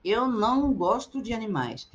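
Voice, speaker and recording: A middle-aged woman language teacher drills short phrases in a slow, deliberate way, letting her pitch rise and fall a lot. She sounds like a home webcam recording, a little dull and lo-fi. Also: sing-song. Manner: slow, carefully articulated repetition. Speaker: Portuguese teacher. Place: indoors, webcam in a circle overlay.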